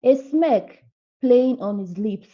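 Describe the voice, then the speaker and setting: Slow, mellow woman storyteller. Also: on the high side, expressive, highs cut off. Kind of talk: scripted folktale narration.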